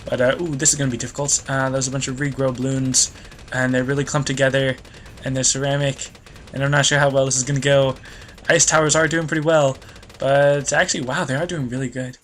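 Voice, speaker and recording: A man's voice: mid-range voice, flat delivery, brisk, fairly bright tone, a little hiss or hum. A young man narrating his video game as a let's play host, rambling and losing his train of thought, with a slightly stuffy nose. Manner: rambling, loses train of thought, self-deprecating. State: stuffy nose, voice a bit worn. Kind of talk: rambling gameplay commentary.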